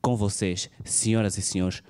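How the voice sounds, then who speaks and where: A man's voice: fairly low pitch, steady tone, normal speed, darkish tone. A man, presenting acts as host on a comedy club stage. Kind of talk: introducing the next comedian. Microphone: handheld microphone.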